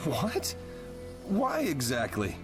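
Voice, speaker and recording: An actor voicing an earnest, easily exasperated adult ninja officer in a video game dub. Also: male voice, a little high, wildly swooping pitch, slow pace, heavily acted. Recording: music under the dialogue.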